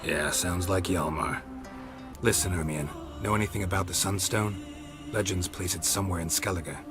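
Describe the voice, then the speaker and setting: A man voicing a laconic, gruff, weary monster hunter in a video game, terse and deadpan with a gravelly voice. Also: deep voice, normal speed, music in the background, natural voice. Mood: dry.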